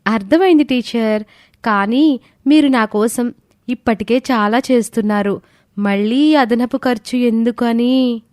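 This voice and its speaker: A woman's voice: fairly high pitch, a little slow, darkish tone, no room sound, clean studio sound, voice pushed up, close to an everyday voice. A woman voicing an earnest, respectful teenage girl student in an audio story. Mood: grateful. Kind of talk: scripted audio story dialogue.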